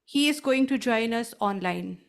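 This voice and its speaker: A woman's voice: a little high, expressive, normal speed, gravelly. A woman hosting an academic conference, formally introducing a speaker and politely inviting questions. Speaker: conference host.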